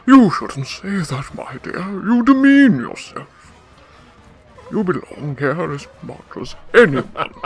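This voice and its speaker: A man's voice: sits high, sing-song, slow pace, exaggerated voice. A man playing a refined older club owner in a radio drama, speaking in a florid, courtly, theatrical way. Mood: courtly, genial. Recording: music behind.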